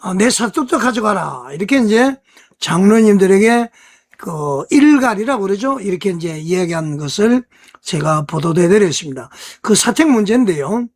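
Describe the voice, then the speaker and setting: Online news host, mid-pitched voice, conversational commentary, explanatory delivery, rhetorical questions to viewers. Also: animated, normal speed, darkish tone.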